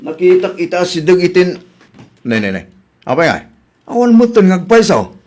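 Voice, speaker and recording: A man's voice: high-pitched, very animated, moderate pace, a little mellow. A man acting an easygoing husband who questions his wife in an Ilocano radio comedy drama. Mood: puzzled.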